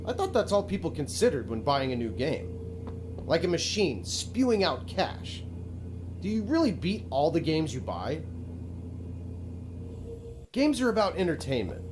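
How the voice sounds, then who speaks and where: A man's voice: on the high side, big pitch swings, unhurried, long pauses, noisy background. A male actor playing a self-pitying, pushy game developer who narrates his own video game in a theatrical, pleading way. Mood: pushy, sardonic. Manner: pleading, self-justifying, dramatic.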